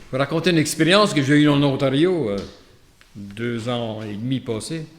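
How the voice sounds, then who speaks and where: A man's voice: mid-range voice, normal speed. A man with a Canadian French accent, a priest speaking in a reflective, conversational storytelling way with hesitant asides as he gives a homily. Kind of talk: gospel reading and conversational sermon with anecdote.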